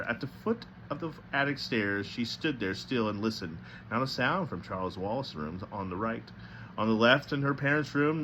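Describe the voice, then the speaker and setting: A man reading a novel aloud as narrator, with a slightly halting delivery that stumbles now and then. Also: lowish voice, fairly bright tone, slight background noise, poor audio. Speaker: storybook reader.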